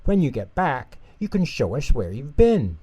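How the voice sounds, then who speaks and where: A man voicing a big, gentle, caring bear in a children's storybook, patient and reassuring. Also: mid-range voice, very animated, normal speed, husky, dry recording, voice pushed up. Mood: helpful.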